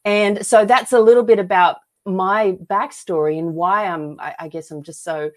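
A woman's voice: medium pitch, fairly even intonation, moderate pace. A woman with an Australian accent, speaking earnestly and emphatically as a guest telling personal stories in a conversational interview. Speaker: podcast guest, author and filmmaker.

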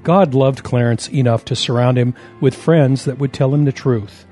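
A man delivers scripted narration for a children's story. His voice is mellow and of medium pitch, with soft music underneath. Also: normal speed.

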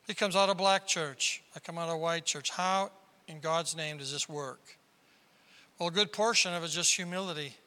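A man from South Dakota preaching a sermon as pastor, with a squeaky tenor voice and emphatic delivery that climbs into sudden loud bursts. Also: moderate pace. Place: large church hall. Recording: reverberant hall.

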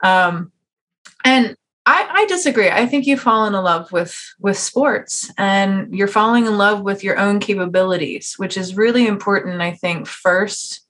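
Woman, podcast host, conversational interview style, earnest, encouraging, long flowing answers, mid-range pitch. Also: a little slow, a bit bright. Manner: earnest, encouraging, talks at length.

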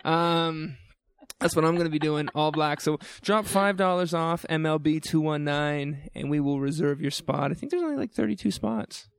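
A man's voice: on the high side, gravelly, clean tone, dry recording. A young man from New Brunswick with a New Brunswick accent, co-hosting a casual podcast with playful, joking asides. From New Brunswick, Canada. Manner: jokey, playful, quick asides. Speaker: podcast co-host. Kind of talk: casual chat.

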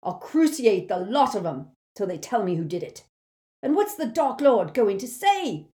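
A woman's voice: mid-range voice, lively intonation, measured pace, voice pushed up. A woman voicing a brutish, hot-tempered male wizard thug who talks in coarse dialect. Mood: ranting. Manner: loud, coarse dialect speech.

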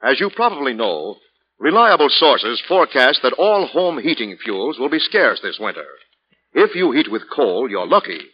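A man's voice: mid-range voice, very animated, moderate pace, a little hiss or hum. A man announcing and narrating in a formal, emphatic, dramatic 1940s radio style. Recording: dull old radio recording.

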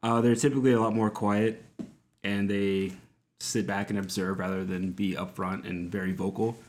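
A man's voice: fairly low pitch, flat delivery, normal speed, a little mellow. Late-20s guy from georgia, jokey, laughs at himself, casual friend chat. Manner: joking, self-mocking. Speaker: podcast co-host.

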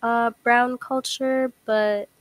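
A young American woman in a casual chat, slangy and opinionated as she tells stories in an animated way. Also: a little high, slow pace, clean tone, dry recording. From United States. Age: young adult. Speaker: participant in a group call.

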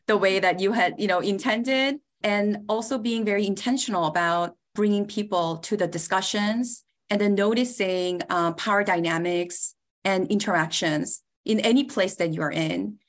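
A woman speaking as a podcast guest, giving reflective answers in an interview. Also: medium pitch. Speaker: podcast guest, committee co-chair.

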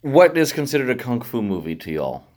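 A man's voice: mid-range voice, sing-song, brisk, a little mellow. A man co-hosting a podcast, talking informally in teasing, casual banter with friends. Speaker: podcast co-host.

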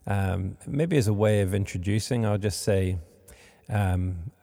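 A man hosting a podcast interview, speaking haltingly with many fillers, recorded in a monastery room. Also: fairly low pitch, warm tone, in a large hall. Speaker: podcast host.

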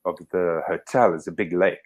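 Low-voiced man from Zimbabwe, rambling. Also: steady tone, normal speed. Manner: rambling, self-correcting, lots of fillers. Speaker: podcast guest. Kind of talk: casual storytelling interview.

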